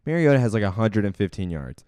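A man's voice: lowish voice, big pitch swings, fast, a little mellow, clean tone, dry recording. A young man co-hosting a sports podcast, blunt and sarcastic with a joking edge. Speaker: podcast co-host. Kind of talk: casual sports chat.

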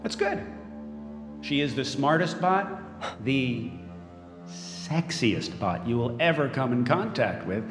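Echoey, smug tech mogul. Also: male voice, medium pitch, very animated, slow, long pauses, over music. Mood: smug, cruel. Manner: polished, self-satisfied public speaker. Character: smug, controlling tech mogul unveiling his android. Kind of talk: audio drama stage speech. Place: large event room as staged, crowd behind. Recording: echoey hall with crowd behind.

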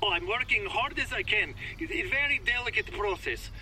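Nervous foreign forger, super bright squeaky-high voice, broken english, audio drama. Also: male voice, animated, brisk, a little hiss or hum, exaggerated voice, rough recording. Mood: nervous, placating. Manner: broken English, dropping articles, flustered. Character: anxious, eager-to-please foreign male document forger, jittery.

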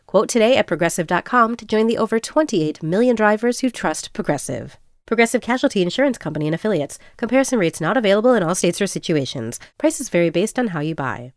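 A woman's voice: medium pitch, animated, fast talker, no echo. Polished woman ad announcer, dry studio. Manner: smooth, polished delivery. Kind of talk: scripted ad read.

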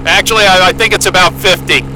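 A man's voice: high voice, steady tone, fast, lightly acted. A man acting as an earnest, bookish young astronomer in an audio drama, with a hesitant, polite, wry way of talking. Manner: hesitant, polite, wry, stammering over words. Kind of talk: naturalistic audio drama dialogue. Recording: loud engine hum and vehicle noise.

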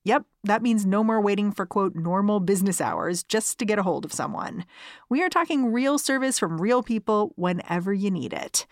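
A woman's voice: medium pitch, expressive, moderate pace, no echo, clean studio sound. A woman reading a scripted sponsor advertisement. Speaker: ad reader.

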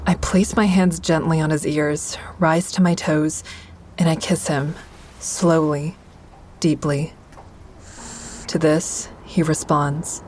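A woman's voice: low voice, a little mellow, a little hiss or hum. A woman playing an introspective therapy-group member who narrates her own story in a confiding, reflective way for an audio drama. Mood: tender. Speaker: narrator and lead actor.

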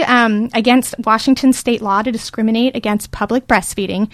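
A woman's voice: on the high side, dry recording. A woman hosting a podcast panel in a studio, talking in a friendly, encouraging way. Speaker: podcast host. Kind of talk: casual panel discussion. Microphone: studio microphone.

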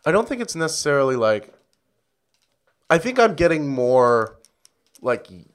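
A man's voice: fairly high pitch, very animated, unhurried. A man, a comedian, talking as a guest in a casual interview in an easygoing, hesitant way. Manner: easygoing, hesitant, lots of fillers.

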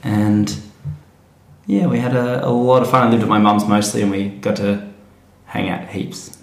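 A man's voice: lowish voice, normal speed. A man from Western Australia with an Australian accent, chatting casually as an interview guest. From Fremantle, Western Australia. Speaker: interview guest, tour operator. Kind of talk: casual chat. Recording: roomy, echoey sound.

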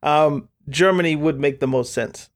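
A man's voice: a little high, moderate pace. A man co-hosting a casual football podcast, thinking aloud in a rambling way with many fillers. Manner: thoughtful, rambling, lots of "like". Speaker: podcast co-host.

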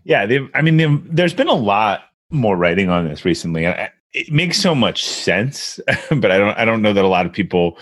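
Man, interview host, deep-pitched voice, conversational, slightly halting phrasing. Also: big pitch swings, fairly bright tone.

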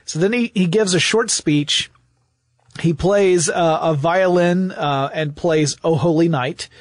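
A man's voice: a little high, takes it slowly, dry recording, clean studio sound. A man hosting a podcast, explaining and telling stories in a conversational way. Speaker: podcast host.

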